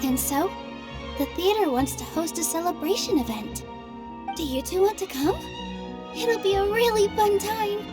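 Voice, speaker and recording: A gentle young theater dancer with a fairly high, soft-spoken voice. She is polite, modest and a little apologetic. Faint game music plays underneath. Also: lively intonation, moderate pace. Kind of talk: video game voice acting.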